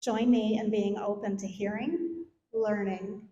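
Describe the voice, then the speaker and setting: A woman's voice: medium pitch, steady tone, a little slow, dark tone, muffled recording. A middle-aged woman giving a scripted speech and introduction at an event in a hall. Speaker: event sponsor and speaker introducer. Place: large event hall, on stage. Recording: echoey hall, faint noise.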